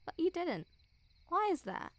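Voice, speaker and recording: High-pitched teasing bully girl, acted by a woman. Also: big pitch swings, a little slow, no room sound, exaggerated voice. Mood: probing, teasing. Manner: mocking, teasing, giggly. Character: teasing, smug school bully girl, secretly a little caring. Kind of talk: ASMR girlfriend roleplay, intimate one-sided dialogue.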